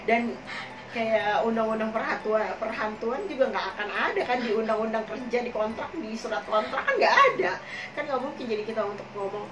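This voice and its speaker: A hoarse young woman talks casually and frankly, wandering through her story with plenty of fillers. She sits some distance from the mic, so her voice comes back off the walls of an echoey room. A steady noise runs underneath her words. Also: on the high side, fairly quick. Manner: rambling, full of fillers, chatty and frank. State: hoarse, coughing. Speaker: podcast co-host telling her own experiences. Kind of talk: casual chat in Jakarta slang.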